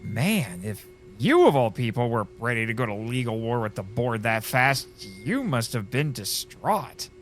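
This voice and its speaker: A man playing a sardonic crash survivor in an audio drama, narrating his own story with wry, theatrical, deliberate delivery. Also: medium pitch, sing-song, long reverb tail, faint music behind. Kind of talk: first-person audio drama narration and dialogue.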